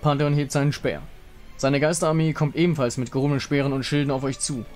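A man's voice: medium pitch, flat delivery, fast talker, a little mellow. Male narrator telling a fantasy battle over music. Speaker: narrator reading visual novel dialogue. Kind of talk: dramatic scripted narration.

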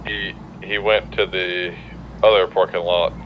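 A man's voice: low voice, little pitch movement, unhurried, highs cut off, slight background noise. A man giving brief, casual radio-style callouts as a teammate in a roleplay game.

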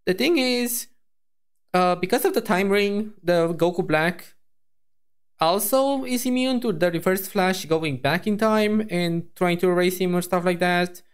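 A man streaming as a VTuber, reacting to a video in casual, rambling chat full of fillers and restarts, his voice hoarse. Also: sits high, measured pace.

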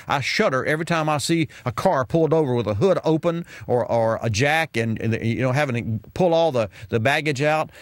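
A middle-aged man hosts a call-in car show from a studio, speaking into a boom mic with headphones on. His delivery is loose and conversational, full of restarts and asides. The sound is dry and close, with no room around it. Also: no room sound. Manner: chatty, rambling, many fillers and restarts. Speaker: radio car show host. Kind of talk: call-in radio advice. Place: radio studio with colorful sign backdrop. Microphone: studio mic on boom arm, wearing headphones.

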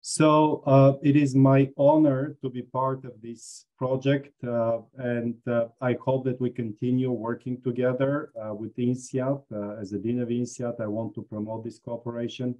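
A man's voice: mid-range voice, flat delivery, moderate pace, few pauses, lo-fi sound. A man from Bulgaria, a business school dean, giving an online lecture with frequent fillers. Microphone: video call.

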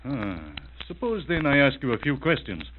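An actor playing a grave, courteous questioner in a 1940s-style radio drama, measured and formal. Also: male voice, mid-range voice, big pitch swings, natural voice. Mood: questioning. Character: grave, courteous male questioner breaking bad news. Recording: narrow, tinny, hissy old radio recording.

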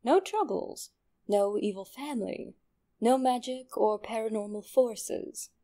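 A woman narrating a scripted horror story in a sinister, deliberate manner. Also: on the high side, dark tone.

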